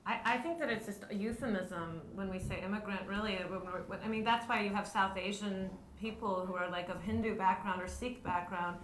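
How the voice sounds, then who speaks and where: A woman's voice: medium pitch, steady tone, fairly quick, few pauses, fairly bright tone. A woman with a mid-range voice makes a blunt point on a writers' panel. The hall is echoing, with a faint hum behind her. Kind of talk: panel discussion. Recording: echoey room.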